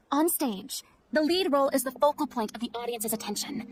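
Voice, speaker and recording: High-voiced, theatrical young woman. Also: lively intonation, moderate pace, a little hiss or hum. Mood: stern, impassioned. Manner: articulate, theatrical, emphatic. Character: theatrical young woman, poised, commanding and compassionate. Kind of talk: anime game voice acting.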